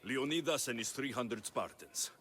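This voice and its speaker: An actor playing a confident, dry-witted ancient Greek mercenary in a video game, direct and wry. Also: male voice, medium pitch, moderate pace, fairly bright tone, slight background noise, natural voice. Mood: assured. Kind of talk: video game dialogue acting.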